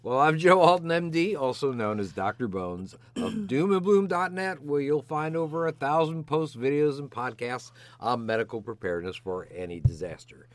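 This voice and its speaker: A man's voice: medium pitch, expressive, moderate pace, hardly any pauses, slight background noise. Jokey older man hosting a podcast. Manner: jokey, alliterative, announcer-like flourishes. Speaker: podcast co-host, physician. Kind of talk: playful scripted podcast banter.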